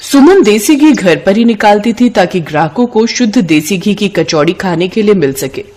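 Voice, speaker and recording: A woman's voice: medium pitch, lively intonation, normal speed, darkish tone. Woman narrating a moral tale. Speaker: storyteller. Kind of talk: scripted children's moral story narration.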